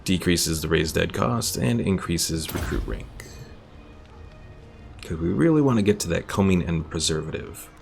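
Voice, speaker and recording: Male game streamer, very creaky low voice, casual explanatory commentary over faint game music. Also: animated, moderate pace. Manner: thinking aloud, explaining game mechanics. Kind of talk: casual live gameplay commentary.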